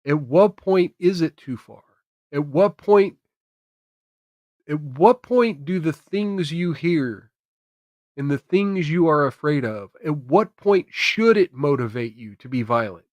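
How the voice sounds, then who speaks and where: American guy doing live political commentary, higher voice, emphatic, repeats himself for punch, dry close sound. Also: expressive, slow pace, a little mellow, dry recording. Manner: emphatic, repeats phrases for effect. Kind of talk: opinionated political commentary to camera.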